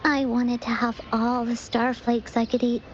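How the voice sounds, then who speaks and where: A high, unhurried young girl's voice acted by a woman for a video game. She is shy, polite and wistful, speaking with earnest hesitation. The recording is a little dull, with faint music behind her. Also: flat delivery, a little hiss or hum, lightly acted. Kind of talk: video game character voice acting.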